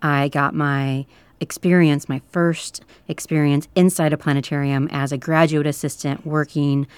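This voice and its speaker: Calm woman in her thirties, low soothing voice, very dry mic. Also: normal speed, smooth, dry recording. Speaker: planetarium director. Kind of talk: calm interview talk. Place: planetarium dome.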